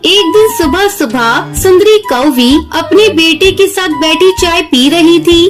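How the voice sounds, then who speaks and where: A woman's voice: very high voice, unhurried. A woman reading scripted narration for a children's cartoon story. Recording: music bed behind voice.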